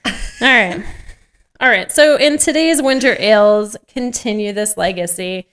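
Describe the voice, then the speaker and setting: A woman's voice: medium pitch, a little slow, a bit bright, clear, resonant tone. A woman co-hosting a podcast, reading a script and chatting casually, giggly and playful with joking asides. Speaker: podcast co-host. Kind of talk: scripted table read mixed with casual banter.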